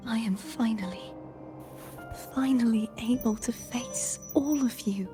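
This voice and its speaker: A woman playing a solemn, resolute young female warrior in a video game, speaking in an earnest, measured, breathy way. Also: on the high side, over music, natural voice. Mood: solemn, subdued.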